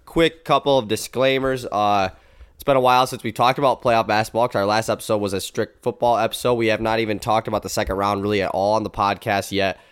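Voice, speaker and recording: A man's voice: lowish voice, fairly even intonation, quick pace, clear, resonant tone, no echo, clean studio sound. A young man hosting a sports podcast, his voice stuffy and congested from a blocked nose. He chats casually and rambles, with many fillers and restarts. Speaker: podcast host.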